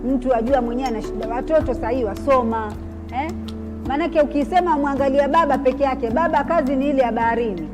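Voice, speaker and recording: A small business owner speaks plainly and candidly in a documentary interview. Her voice is fairly high for a woman, and clear instrumental music runs underneath. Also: quick pace.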